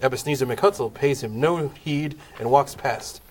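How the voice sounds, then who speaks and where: A man's voice: mid-range voice, normal speed, a little mellow, a little hiss or hum. A man narrating a comic table read of a Christmas play, wry in tone. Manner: wry, laughs at the cast.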